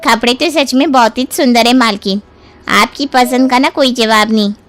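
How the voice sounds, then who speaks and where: A woman's voice: on the high side, normal speed, a bit bright, lightly acted. A woman playing a humble housemaid in an acted moral story, speaking in a respectful, deferential and plaintive manner. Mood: admiring.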